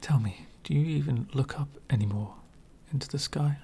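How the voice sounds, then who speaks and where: A man playing an elegant, centuries-old vampire host in an ASMR roleplay, lonely, wry and courtly, soft-spoken with frequent whispers and gentle condescension. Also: mid-range voice, moderate pace, slight background noise, natural voice. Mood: disdainful, resigned. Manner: soft-spoken, often dropping into breathy whispers.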